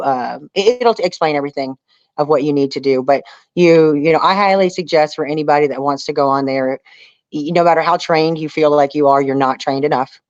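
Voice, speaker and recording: A woman's voice: low-pitched, darkish tone, no room sound. A woman speaks casually as an interview guest, telling things in an informal, emphatic way. The sound is dry and a little dull, with a lo-fi edge. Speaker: reality show castaway being interviewed.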